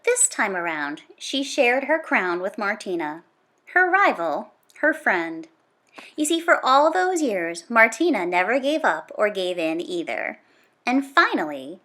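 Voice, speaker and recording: A woman reading a children's nonfiction book aloud in a dramatic, emphatic storytelling manner, with punchy exclamations and drawn-out key words. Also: medium pitch, very animated, fairly bright tone. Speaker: storybook read-aloud reader.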